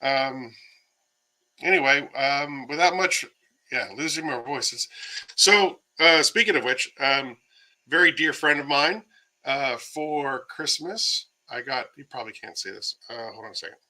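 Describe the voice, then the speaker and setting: A man's voice: medium pitch, measured pace, bright, forceful tone, low-quality recording. A man hosting a casual livestream, rambling with many fillers and greeting viewers by name while he loses his voice. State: losing his voice. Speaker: livestream host. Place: home, by himself.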